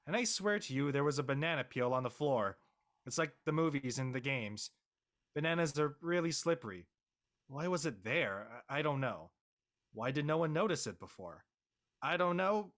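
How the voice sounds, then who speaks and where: A man's voice: medium pitch, fairly bright tone, close to an everyday voice. A man playing an awkward, easily embarrassed teenage boy with a nervous, stammering delivery in a casual audio drama. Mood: embarrassed recounting.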